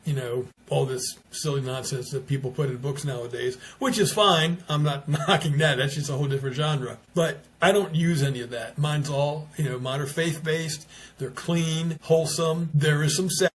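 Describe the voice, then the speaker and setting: A man's voice: on the high side, fairly even intonation, normal speed. An older American man speaking conversationally as an interview guest, an author and retired teacher. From United States.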